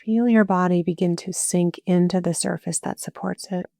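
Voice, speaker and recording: A woman guiding a meditation as a yoga nidra teacher, speaking calmly and soothingly in short phrases with long pauses. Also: fairly low pitch, gravelly, no room sound, clean studio sound. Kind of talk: guided meditation, slow spoken instruction.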